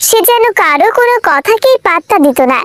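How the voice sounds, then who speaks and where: A woman narrates a children's cartoon tale in a high voice. The sound is close and dry, with a scripted storytelling delivery. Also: lively intonation, brisk, a bit bright, dry recording.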